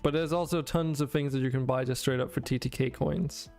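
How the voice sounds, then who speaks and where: A man's voice: mid-range voice, steady tone, fairly quick, a little mellow, soft background music. A young Danish man chatting to his viewers while streaming a game, rambling with many fillers and restarts. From Denmark. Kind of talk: casual game stream talk to chat.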